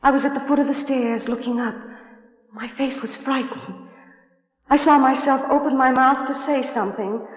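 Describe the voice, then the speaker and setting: A woman's voice: high-pitched, moderate pace, low-quality recording. A woman playing a troubled young wife haunted by nightmares, earnest and hesitant, in a 1950s radio suspense drama. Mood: haunted, hushed. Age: young adult. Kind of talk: 1950s radio suspense acting and first-person narration.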